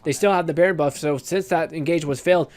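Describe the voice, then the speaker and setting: A young man with a raspy voice, casting an esports match play-by-play by himself, often restarting his sentences and getting louder when the fights break out. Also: a little high, fast. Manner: frequent restarts and stumbles, rising to excited shouting in fights. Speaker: esports caster. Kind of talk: solo play-by-play shoutcast.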